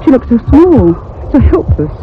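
A thoughtful young woman telling a radio ghost tale. She sounds grave, troubled and haunted, with measured phrasing. The sound is muffled and telephone-thin, with faint noise underneath. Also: high voice, sing-song. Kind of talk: old-time radio drama narration. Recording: muffled phone-like radio recording with hum.

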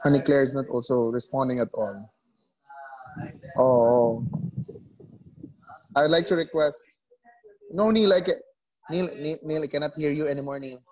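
A man's voice: mid-range voice, unhurried, long pauses, darkish tone, poor audio. A man teaching an online English class over a video call, coaxing students to answer and often repeating names and words.

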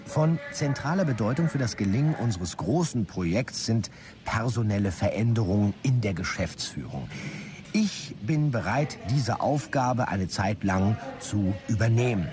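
A man's voice: fairly low pitch, animated, normal speed, over music, natural voice. A man playing a formal, self-important businessman reading out letters in a radio drama, with a pompous manner. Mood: formal, scheming.

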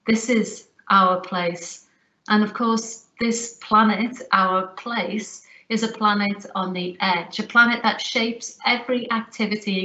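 A woman giving a recorded lecture, speaking in a measured, reflective way over a webcam. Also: medium pitch, pushed and bright. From Liverpool, England. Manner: measured, reflective, deliberate phrasing. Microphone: webcam on a video call. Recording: roomy, slightly dull webcam sound.

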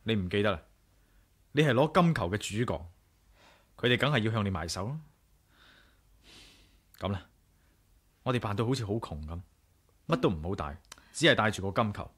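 A determined, inquisitive male adventurer in a 1980s radio play. His pitch is on the low side, and he speaks with a Hong Kong Cantonese accent. He comes over as a decisive man with a brooding streak. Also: breathy edge.

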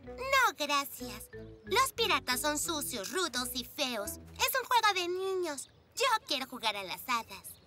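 Prim, bossy cartoon zebra girl, Latin American Spanish, very high, slow delivery, loud music behind. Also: big pitch swings, heavily acted. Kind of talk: children's cartoon dubbing.

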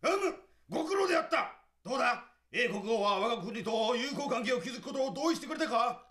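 A man playing an imperious, excitable king in a radio drama, with a pompous, regal delivery. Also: very high-pitched, brisk. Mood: demanding.

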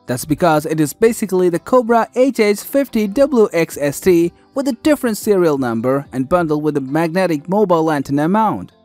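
Lively high-pitched male product narrator. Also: brisk, hardly any pauses, no room sound, faint music behind. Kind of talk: scripted product countdown narration.

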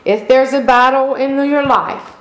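A middle-aged woman pastor delivering a sermon in slow, emphatic preaching style, calling out for amens. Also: high voice. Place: church sanctuary. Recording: echoey room.

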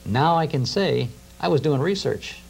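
A man's voice: a little high, animated, moderate pace, creaky, husky, soft background music. A middle-aged American man talking in conversation as an interview guest, explaining things with enthusiasm. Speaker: guest, radio historian. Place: small TV studio. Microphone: lapel mic.

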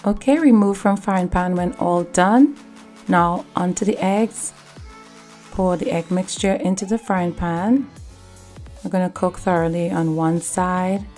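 Woman, lowish voice, calm step-by-step recipe narration over faint music. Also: unhurried. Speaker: cooking channel host.

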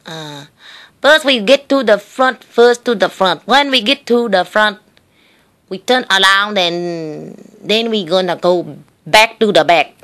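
A woman putting on the voice of a hesitant immigrant woman speaking broken English with many pauses. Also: mid-range voice, lively intonation, a bit bright. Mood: instructing.

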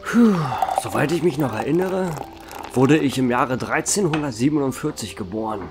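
A man voicing an ancient male vampire barkeeper who tells his past in a calm, dry way, with music behind him. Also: mid-range voice, normal speed, lightly acted. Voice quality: calm, dry, wry storytelling. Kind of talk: amateur horror audio drama.

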